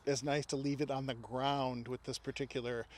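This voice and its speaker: A man's voice: mid-range voice, flat delivery, normal speed. A man talking casually and technically outdoors, as a radio hobby expert helping a friend.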